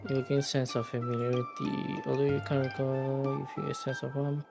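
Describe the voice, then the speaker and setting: A game livestreamer chatting casually, rambling and halting while thinking aloud. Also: mid-range voice, monotone, gravelly, over music. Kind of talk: casual gaming stream chat.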